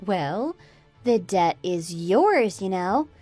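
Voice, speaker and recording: A woman's voice: medium pitch, sing-song, drawn-out words, warm tone, no echo, faint music behind, heavily acted. A woman playing a fed-up, sarcastic daughter-in-law in a melodramatic radio drama. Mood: mocking, dismissive. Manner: sarcastic, taunting. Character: scornful, fed-up adult daughter-in-law, Anna, defiant and mocking. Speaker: voice actor in an online radio drama.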